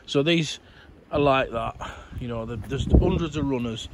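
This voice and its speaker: A middle-aged man with a croaky, worn-out voice, chatting in a rambling way while he gardens outdoors. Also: mid-range voice, moderate pace, heavy background noise. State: croaky voice, coughing. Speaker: allotment gardening vlogger. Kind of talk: casual garden update to camera.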